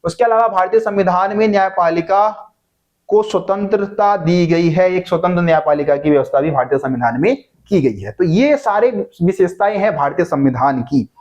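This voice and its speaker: A man's voice: high voice, normal speed, darkish tone, dull audio. A man teaching an exam-prep lesson in a conversational way, often checking in with his students and answering his own questions. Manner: conversational, keeps checking in with "ठीक है जी"; repeats key points, asks and answers own questions.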